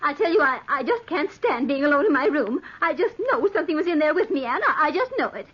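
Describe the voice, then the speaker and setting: A woman's voice: high voice, very animated, quick pace. An actress playing an old, frightened landlady in a radio drama, fretful and flustered, repeating herself. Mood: panicky, fretful. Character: old, nervous, frightened boarding-house landlady. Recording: dull, lo-fi old radio recording.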